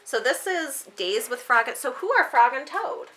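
A woman's voice: sits high, sing-song, normal speed, sharp tone, slight background noise. A woman in her thirties chatting casually as a mother with her small child, asking encouraging questions and echoing the answers. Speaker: mother and book vlogger. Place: small book-lined room at home, indoors.

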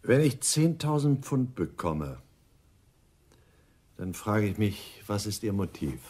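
Deep male voice, wary career criminal, blunt, skeptical, radio crime drama. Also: very animated, slow pace, warm tone, husky, heavily acted. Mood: wary, probing. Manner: direct, skeptical.

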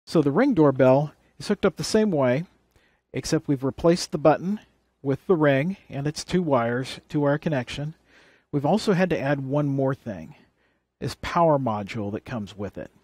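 A man's voice: mid-range voice, lively intonation, normal speed, a little mellow, breathy edge, no echo. A man presenting a hands-on electronics how-to, explaining things plainly and step by step. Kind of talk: hands-on tutorial demonstration.

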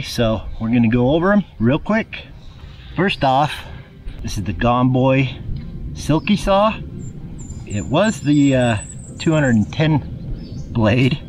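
Middle-aged male outdoors vlogger, mid-pitched, easygoing and jokey, open-air sound with soft music under. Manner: relaxed, plainspoken, jokey. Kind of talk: casual gear review to camera.

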